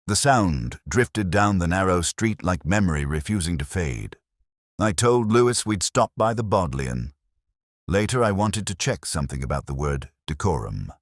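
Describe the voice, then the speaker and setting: Guy doing a really low world-weary detective narrating his case, solemn n wry, swoopy pitch, dry close sound. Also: dry recording, full character voice. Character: brooding, world-weary, literary English police detective. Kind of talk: scripted first-person detective story narration.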